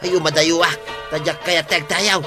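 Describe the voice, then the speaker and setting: A man's voice: fairly high pitch, steady tone, brisk, over music, lightly acted. A man playing a good-natured but fretful village buddy in an Ilocano radio comedy, exclaiming with concern in an Ilocano accent. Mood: chatty, excited.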